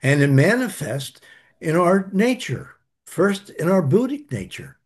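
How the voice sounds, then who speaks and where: A man's voice: high-pitched, sing-song, a little slow, husky. A man teaching a spiritual study group, earnest and emphatic, repeating key phrases as he answers questions.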